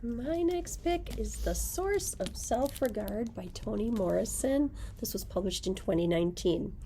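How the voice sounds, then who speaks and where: A woman in middle age presents book recommendations in a mellow, relaxed tone. She reads the blurbs aloud as a librarian would, at a medium pitch, sharing a mic in a library room. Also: a little slow, a little hiss or hum. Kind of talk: reading book blurbs aloud with brief chat. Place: indoors, library room with bookshelves.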